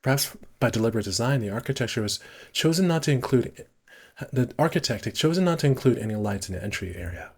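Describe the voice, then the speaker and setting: A man's voice: medium pitch, animated, fast, breathy edge. A man casually streaming a visual novel, reading the narration aloud and correcting himself with muttered asides.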